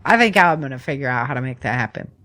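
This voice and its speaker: A woman's voice: extremely low pitch, lively intonation, fast, a bit bright. An American woman hosting a podcast, running through news in a chatty, informal way with slangy casual commentary. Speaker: podcast host.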